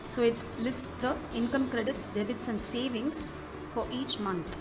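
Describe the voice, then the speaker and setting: A woman presents a software walkthrough in an instructional manner, with halting phrasing. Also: a little high, fairly even intonation. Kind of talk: step-by-step app walkthrough narration. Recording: music behind the voice. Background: clearly audible music.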